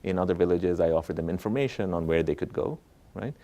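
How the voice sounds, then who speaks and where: A man's voice: deep voice, fast, warm tone. A man from Bangladesh, an academic guest explaining research conversationally and often checking in with short tag questions. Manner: explanatory, checks in with "right?" and "okay?". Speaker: economist, professor interviewed as guest.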